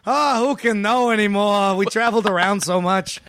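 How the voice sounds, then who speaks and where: A man playing a very old vaudeville showman, cheerfully confused and rambling haltingly in an improvised comedy interview. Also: high voice, fairly bright tone, clean tone, no echo, studio quality. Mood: nostalgic, rambling. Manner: rambling, halting, easily sidetracked. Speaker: guest performer.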